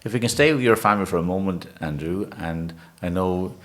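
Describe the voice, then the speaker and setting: Man, low voice, interviewer, gentle hesitant questions. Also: a little fast.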